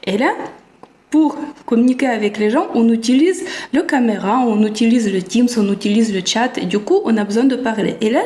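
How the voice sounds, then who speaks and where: A woman vlogger talking through her own strengths and weaknesses in a thoughtful, searching way, pausing and restarting now and then. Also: high-pitched, a little mellow, reverberant. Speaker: self-development vlogger. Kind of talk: personal reflective vlog talk.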